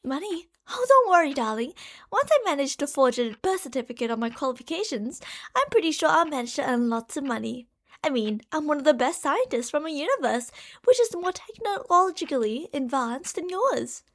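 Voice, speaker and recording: A woman playing an obsessive, delusional genius scientist girlfriend in an ASMR roleplay, doting and gushing, shifting between hushed and excited. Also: high voice. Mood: proud, devoted. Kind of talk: ASMR roleplay monologue addressed to the listener.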